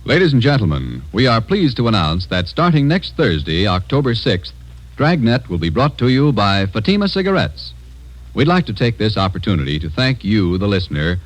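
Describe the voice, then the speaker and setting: A male radio announcer in formal 1940s style. His voice is fairly low with animated intonation, recorded close and dry. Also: no echo, poor audio.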